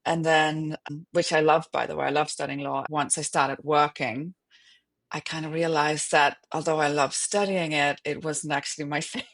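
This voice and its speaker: A woman's voice: low voice, fairly even intonation, moderate pace, a bit bright. A middle-aged woman from Denmark, a native Danish speaker, talking reflectively and informally as a guest in an interview. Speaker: podcast guest, author and yoga teacher. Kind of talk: conversational interview talk.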